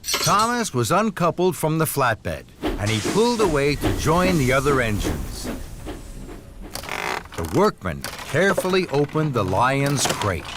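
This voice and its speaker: A man narrating a scripted children's story in an expressive storytelling manner. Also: mid-range voice, unhurried, background noise.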